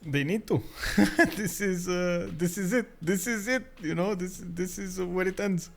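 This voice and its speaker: A man's voice: sits high, creaky. Romanian-accented man casting a strategy match. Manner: chatty, laughs often, halting restarts. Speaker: esports caster and stream host. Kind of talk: live strategy game commentary.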